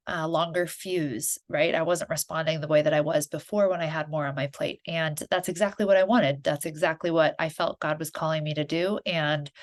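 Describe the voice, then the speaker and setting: A woman podcast host with a congested, stuffy voice from a head cold. She talks in an earnest, encouraging, conversational way, pitched a little lower than most women. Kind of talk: solo podcast monologue.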